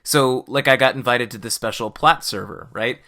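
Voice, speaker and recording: Bright-voiced male podcast host. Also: medium pitch, normal speed. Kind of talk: casual podcast conversation.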